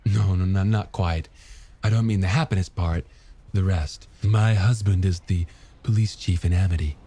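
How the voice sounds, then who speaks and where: Low, mellow, wistful married woman, voiced by a man. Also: moderate pace, slightly rough. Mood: polite. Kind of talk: audiobook character dialogue.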